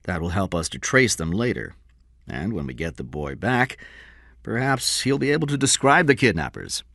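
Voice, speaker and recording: A man's voice: low-pitched, very animated, moderate pace, vocal fry, fairly bright tone, no room sound, studio quality, full character voice. A man voicing a frank, practical police lieutenant in an audiobook crime story, speaking in an emphatic, businesslike way.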